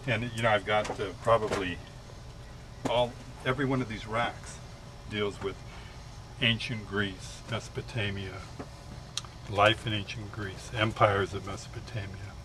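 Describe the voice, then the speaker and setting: A man who runs a library explains his shelves in a measured, lower voice. A clear layer of background noise runs beneath him. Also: steady tone. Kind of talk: explanatory chat. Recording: noisy background.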